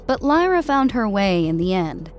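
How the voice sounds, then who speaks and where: A woman's voice: mid-range voice, big pitch swings, normal speed. Woman narrating a story over faint music. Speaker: audiobook narrator. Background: background music.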